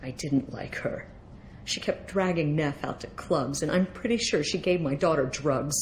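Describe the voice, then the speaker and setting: A grieving middle-aged mother in a video game. Her voice sits a little low, distraught and bitter with sorrow. Faint noise sits behind it. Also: normal speed, low-quality recording. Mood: bitter, worried.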